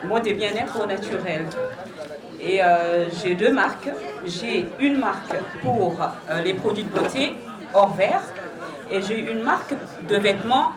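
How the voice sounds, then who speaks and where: A woman founder introducing her brands, hesitant with many fillers. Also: mid-range voice. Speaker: company founder. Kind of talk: exhibitor introduction. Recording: echoey distant fair recording.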